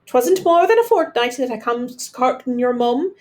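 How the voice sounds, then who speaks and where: A woman's voice: sits high, lively intonation, normal speed, a little mellow, pitched above the performer's own voice. A woman voicing a fond Irish father, a theatrical storybook storyteller with a lilting manner and an Irish accent. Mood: fond storytelling. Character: Irish father, warm grand storyteller, wistful romantic.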